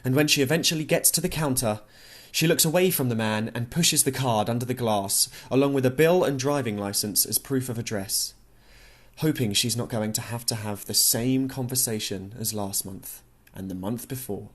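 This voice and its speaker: A man reads a literary novel as an audiobook narrator. His voice sits at an average pitch for a man. The delivery is that of scripted prose narration. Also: normal speed.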